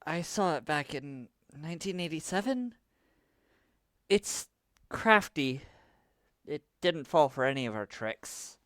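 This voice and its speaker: An actor playing a guarded, serious man who has faced a monster before, explaining deliberately in an audio drama. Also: fairly high pitch, animated, a bit bright, no room sound, clean studio sound. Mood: grave, knowing.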